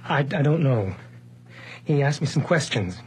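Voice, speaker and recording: A man's voice: mid-range voice, lively intonation, measured pace, natural voice. An actor playing an anxious, fragile man recovering from a breakdown in a 1980s radio horror drama, with a hesitant, earnest delivery. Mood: nervous, hesitant. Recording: noisy, humming old radio broadcast recording.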